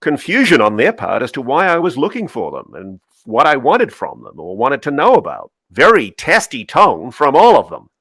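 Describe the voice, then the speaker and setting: A man's voice: mid-range voice, wildly swooping pitch, moderate pace, few pauses, fairly bright tone, no echo, heavily acted. A man playing a guarded senior admiral in a dramatic reading, recounting secrets in clipped phrases. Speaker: co-host reading a part. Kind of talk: dramatic cold reading of a memo transcript.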